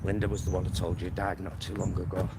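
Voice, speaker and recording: A man's voice: low voice, flat delivery, quick pace. A middle-aged man with a Greater Manchester accent, chatting casually and rambling in a slightly camp way as he walks and films himself. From England. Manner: chatty, rambling, campy endearments. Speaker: vlogger. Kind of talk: casual chat to camera while walking. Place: outdoors, walking along a suburban road. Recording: loud outdoor street and traffic noise.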